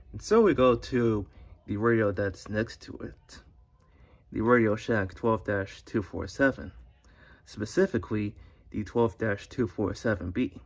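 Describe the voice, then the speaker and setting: A man giving a casual, unscripted showcase narration in a halting, meandering, explanatory way. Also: lowish voice, slightly rough. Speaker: hobbyist video creator. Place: indoors at home beside a shelf of radios. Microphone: handheld phone behind the camera.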